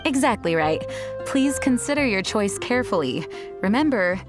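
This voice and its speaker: A woman playing a poised, earnest young knight leader in a video game, speaking in a formal, courteous, measured way. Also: medium pitch, expressive, lightly acted. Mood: formal, gracious. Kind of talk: video game character acting. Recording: music behind the voice. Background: game music.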